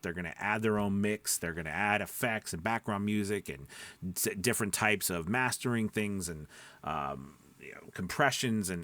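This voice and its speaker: A man's voice: lowish voice, fairly bright tone, dry recording. Man coaching informally, dry close sound. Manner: explanatory, checks in with listeners, repeats for emphasis. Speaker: voice-over coach. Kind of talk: informal instructional talk to camera.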